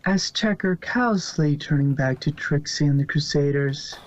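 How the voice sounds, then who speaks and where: A man's voice: fairly high pitch, normal speed. A man narrating fan fiction as a scripted story reading. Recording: muffled, dry lo-fi recording.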